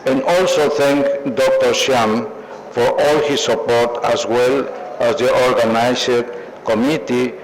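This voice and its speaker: An older man with a Mexican accent delivers a prepared acceptance speech. He is formal and takes his time over each phrase, in a middle-pitched voice. Faint event noise sits behind him. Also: steady tone. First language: Spanish. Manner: formal, measured. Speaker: award recipient, plastic surgeon. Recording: noisy live event recording.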